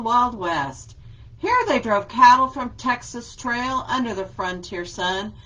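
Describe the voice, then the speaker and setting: A woman librarian leading a children's story time, reading a picture book aloud and explaining gently. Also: fairly high pitch, poor audio.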